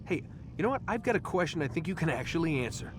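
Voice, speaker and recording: A man's voice: a little high, very animated, quick pace, music in the background. A man playing a sarcastic, frustrated young American captive in a video game, with slangy, wry delivery. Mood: probing, sarcastic. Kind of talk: naturalistic video game acting.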